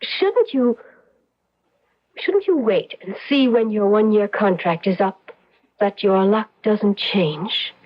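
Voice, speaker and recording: A woman's voice: medium pitch, animated, slow pace. Seductive scheming witch, lo-fi. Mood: teasing, evasive. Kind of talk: old-time radio drama acting. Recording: dull lo-fi radio drama recording.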